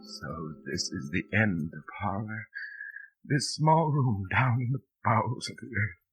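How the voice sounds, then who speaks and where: An actor playing a desperate, anguished prisoner under torture in an old radio drama, halting and strained in delivery. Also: male voice, lowish voice, husky, faint music behind. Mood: bleak dread. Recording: muffled vintage radio recording.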